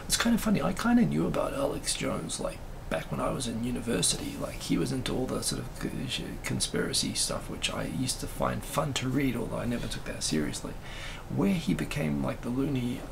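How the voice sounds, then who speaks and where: A man hosting a live stream, chatting casually and rambling with many fillers, with a raspy voice right now. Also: medium pitch, very animated, a little fast, noisy background. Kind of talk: casual live-stream chat reading comments.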